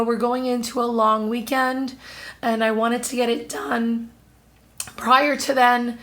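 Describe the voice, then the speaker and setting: A woman in her thirties talks casually to her camera, her voice hoarse and husky, still coming back after she lost it. She sits slightly high in pitch and chats in a loose, apologetic way, filling pauses with ums and uhs. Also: a bit bright. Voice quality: husky, strained. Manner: chatty, apologetic, many fillers. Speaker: vlogger. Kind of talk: casual monthly haul-style chat to camera.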